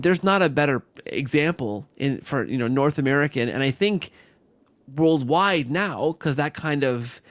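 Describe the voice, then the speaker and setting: A man's voice: on the high side, dry recording. A man hosting a conversational interview, effusive, repeating words and often tagging sentences with "right?". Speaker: podcast host.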